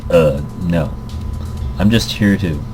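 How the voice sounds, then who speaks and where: A man voicing a curt, aloof rival gamer in a visual novel, flat and terse. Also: sits low, takes it slowly, soft background music, voice pushed down. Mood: curt, reluctant.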